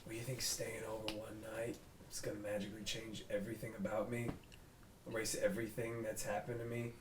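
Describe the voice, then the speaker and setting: A man's voice: lowish voice, monotone, a little fast, natural voice. A man reading the part of a bitter, wounded police detective in a drama script. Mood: bitter, guarded. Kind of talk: table read of a drama script. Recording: roomy, distant mic, noisy room.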